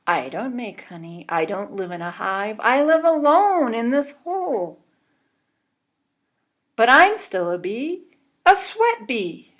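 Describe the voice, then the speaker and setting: A woman voicing a defiant, solitary green sweat bee in a children's storybook, firm and deliberate. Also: on the high side, big pitch swings, pitched above the performer's own voice, full character voice. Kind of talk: children's storybook read-aloud. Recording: clearly noisy recording.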